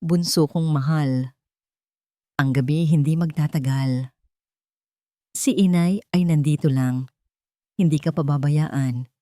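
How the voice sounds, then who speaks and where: A woman's voice: deep voice, slow, pauses often, dark tone, no room sound, clean studio sound. Woman voicing sorrowful, tender Filipino mother. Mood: sorrowful lullaby. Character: sorrowful, tender poor mother soothing her child.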